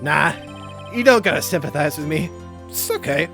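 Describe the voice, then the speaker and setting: A woman's voice: sits low, lively intonation, moderate pace, over music. A woman voicing a whiny, melodramatic, heartbroken young man in a video game. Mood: sulky, self-pitying.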